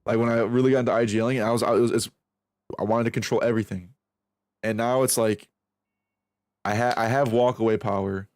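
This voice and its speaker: A man's voice: mid-range voice, steady tone, fairly quick, long pauses, darkish tone, no echo. Twenty-something guy streaming, swears a lot, keeps restarting sentences, dead dry mic. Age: 20s. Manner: rambling, profane, self-reflective, restarts a lot. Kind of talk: casual stream chat while aim training.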